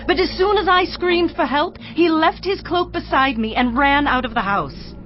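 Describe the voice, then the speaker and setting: An actress playing a scheming, theatrical wife of an ancient Egyptian official in a dramatized audio Bible. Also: female voice, sits high, normal speed, slight background noise, natural voice. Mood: indignant, accusing. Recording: muffled audio with music underneath. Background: background music.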